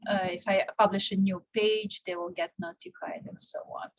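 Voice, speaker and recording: A young woman from Serbia giving a live online software tutorial, explaining step by step with frequent fillers and restarts. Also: mid-range voice, steady tone, moderate pace, dull audio. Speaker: teacher presenting a software tutorial. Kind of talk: live tutorial with screen share.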